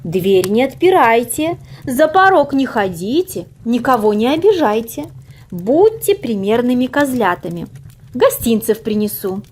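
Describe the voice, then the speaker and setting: Woman playing storybook mother goat, stern, admonishing, motherly, high swooping voice, measured pace. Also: very animated, darkish tone. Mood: stern, instructing. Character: strict, caring mother goat questioning her kids. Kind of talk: storybook character reading.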